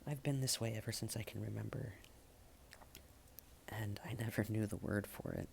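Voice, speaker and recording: A man delivers slam poetry in a low voice that crackles with heavy vocal fry. The delivery is halting and deliberate, fairly flat in pitch, with long pauses and phrases that trail off into a hush. There is some background noise in the recording. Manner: halting, with dramatic pauses and hushed, breathy phrase endings. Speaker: slam poet. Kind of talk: spoken-word slam poetry, slow and repetitive.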